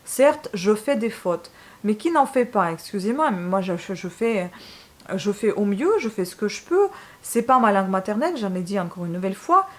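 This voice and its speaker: A woman with a Ukrainian accent speaks French in a casual vlog, addressing her viewers directly. She hesitates often, restarting sentences and filling gaps with "euh", and her tone is frank and a bit fed up. Her voice sits at a middle pitch for a woman. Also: big pitch swings, moderate pace. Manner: hesitant, many fillers and restarts, frank and exasperated. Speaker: booktube channel host.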